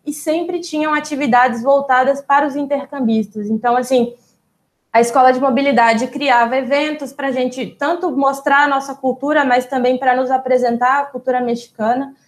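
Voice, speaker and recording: A young Brazilian woman, a doctoral student, answering interview questions in a relaxed, explanatory way, with frequent small fillers and restarts. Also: sits high, moderate pace. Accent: Brazilian Portuguese. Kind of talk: casual interview storytelling.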